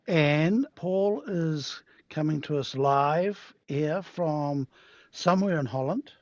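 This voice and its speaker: A man's voice: a little high, slow pace, a little mellow, dry recording. A man hosting a podcast interview, chatty and self-deprecating. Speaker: podcast host. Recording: dull, dry, lo-fi sound.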